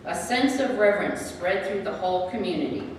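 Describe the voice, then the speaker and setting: A woman's voice: lowish voice, brisk. A woman reading scripted scripture narration as the narrator of a church drama. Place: large church sanctuary. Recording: echoey church from far off, faint noise.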